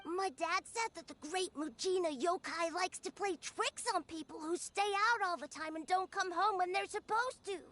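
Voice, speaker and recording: Piercing, very high village child. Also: female voice, normal speed, hardly any pauses, pushed and bright. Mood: earnest. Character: stubborn, earnest young village child. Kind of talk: anime video game voice acting.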